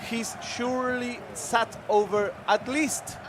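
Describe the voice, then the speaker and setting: A native Spanish-speaking man co-commentates an esports football match with a high, bright-pitched voice. He stays upbeat and keeps turning to the viewers at home. Faint traffic noise sits behind him. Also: steady tone, slow pace. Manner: upbeat, addresses the chat directly. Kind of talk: bilingual color commentary.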